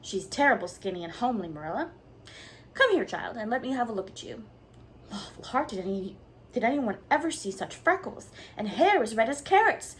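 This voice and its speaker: A woman's voice: a little high, expressive, a little fast, a little hiss or hum, voice pushed up, natural voice. A woman voicing a blunt, gossipy older village woman who talks emphatically. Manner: emphatic, speaks her mind.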